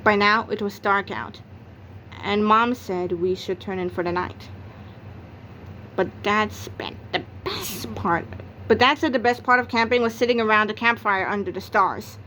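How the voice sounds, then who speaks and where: A woman's voice: medium pitch, a little slow, bright, forceful tone, a little hiss or hum, rough recording. A woman narrating a read-aloud of a children's comic novel, acting out its exclamations and sound effects. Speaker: book narrator.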